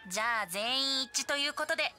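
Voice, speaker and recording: A woman's voice: high voice, lively intonation, a little fast, pushed and bright, soft background music, exaggerated voice. A woman voicing a breezy, playful youthful boy bard in a video game, easygoing and cheerful. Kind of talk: Japanese video game voice acting.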